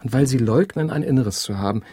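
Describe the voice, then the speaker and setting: A man's voice: mid-range voice, fast, warm tone, slightly rough, dry recording, close to an everyday voice. An actor in a German radio drama playing an obsessive, pedantic abandoned husband who insists on his ideas with great earnestness. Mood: insistent, pleading.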